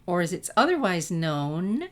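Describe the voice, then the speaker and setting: A woman voicing an excitable, nerdy teenage girl in a story reading, eager and gushing. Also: lowish voice, unhurried. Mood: triumphant. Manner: eager, gushing, stammering when flustered.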